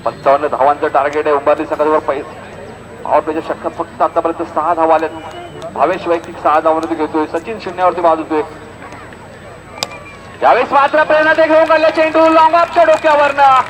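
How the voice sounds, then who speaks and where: High-pitched Maharashtrian man commentating, noisy outdoors. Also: little pitch movement, fast talker, a bit bright. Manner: repeats score lines, chatty asides to listeners. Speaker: cricket commentator. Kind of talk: live local cricket match commentary. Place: outdoors at a cricket ground.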